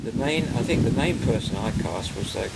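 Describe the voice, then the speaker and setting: An older man on a countryside porch, recalling his film career in a rambling, halting way, often searching for names. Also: medium pitch, lively intonation, vocal fry. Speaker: interviewee, film director. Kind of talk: casual reminiscing interview. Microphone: camera mic, medium distance. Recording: loud outdoor background noise.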